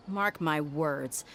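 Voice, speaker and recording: Blunt, confident mercenary. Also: female voice, deep voice, fairly bright tone, a little hiss or hum, heavily acted. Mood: indignant, determined. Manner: assured, direct. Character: confident, blunt adult mercenary woman, protective and shrewd. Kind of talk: video game character acting.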